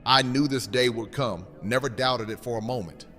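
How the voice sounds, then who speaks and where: A man's voice: mid-range voice, moderate pace, fairly bright tone, lightly acted. Man acting stately leader in echoing hall. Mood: assured. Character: gracious, stately male kingdom leader. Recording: echoey hall, faint music behind.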